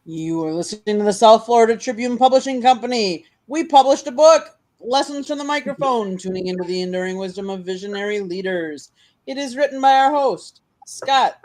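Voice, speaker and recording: Steady announcer reading a station promo. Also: medium pitch. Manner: steady, informational delivery. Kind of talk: scripted promo read.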